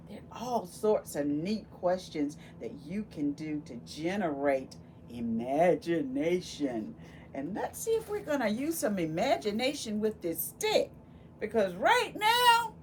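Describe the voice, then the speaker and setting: Female children's story reader, very animated intonation, takes her time, addresses kids directly with exclamations, faint noise behind. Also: medium pitch. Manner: animated, exclaiming, talks directly to young listeners. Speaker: volunteer children's book reader. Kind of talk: expressive picture-book read-aloud with questions and asides to children. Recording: steady background noise.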